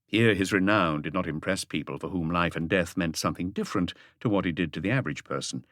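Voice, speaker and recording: A man's voice: low-pitched, fast talker, fairly bright tone, no echo, studio quality. A man reading scripted literary narration for a mystery audiobook.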